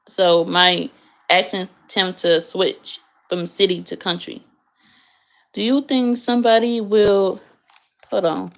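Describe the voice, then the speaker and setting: A woman's voice: medium pitch, steady tone, slow, creaky. A young woman from the United States in her bedroom, chatting casually and bluntly with her livestream viewers. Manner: blunt, frank, swears freely. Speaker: livestreamer answering chat.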